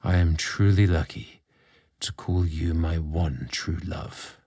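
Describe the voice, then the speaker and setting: A man voicing an adoring male gardener in love, hushed and tender. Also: a bass voice, slow, vocal fry, slightly rough, no echo, studio quality, voice pushed down, full character voice.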